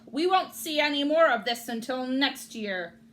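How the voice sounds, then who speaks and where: A woman acts out a grumpy, pessimistic storybook rabbit. The voice is high and loud, swinging widely as it grumbles and complains, with faint noise behind it. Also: expressive, unhurried. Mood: grumbling. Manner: grumbling, emphatic. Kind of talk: children's storybook read-aloud.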